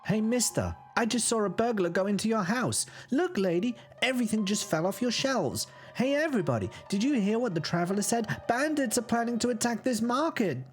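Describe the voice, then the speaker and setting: A man doing a shrill little orphan girl, bold and pushy, yelling out alarms to anyone nearby. A storybook figure with a far higher pitch than an adult voice. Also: very animated, a little fast, hardly any pauses, soft background music. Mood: urgent. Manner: loud, eager calling out to passers-by.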